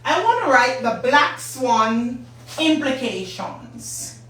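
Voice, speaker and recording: Middle-aged Jamaican female host, hoarse, unhurried, heard from a distance in a roomy space. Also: high-pitched, expressive. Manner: warm, chatty, greets viewers by name. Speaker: business talk show host. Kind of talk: casual live-stream talk. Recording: echoey room, distant mic, faint hum.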